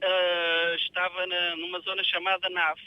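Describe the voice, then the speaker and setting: A man with a European Portuguese accent telling stories as a radio guest in an excitable, colloquial way, acting out dialogue. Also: sits high, measured pace, clear, resonant tone, highs cut off. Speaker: radio show guest, seafarer. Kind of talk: animated anecdote telling in casual chat.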